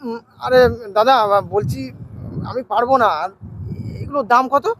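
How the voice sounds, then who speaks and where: A man's voice: very high-pitched, normal speed, a bit bright, a little hiss or hum, low-quality recording. A man playing an earnest, polite passer-by in a comedy skit, deferential and hesitant yet persistently questioning. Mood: curious, polite. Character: polite, nosy, moralizing male passer-by, earnest and naive.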